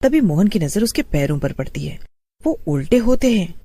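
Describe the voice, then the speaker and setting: A woman's voice: deep voice, dark tone, dry recording. A woman storyteller reading a scripted horror tale as narrator.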